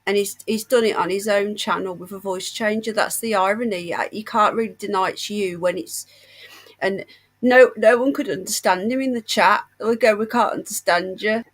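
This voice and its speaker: A woman with a mid-pitched voice hosts a casual livestream. She talks as if passing on outrageous gossip, with indignation running through it. She is unwell with a sore chest as she talks. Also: normal speed. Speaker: livestream host.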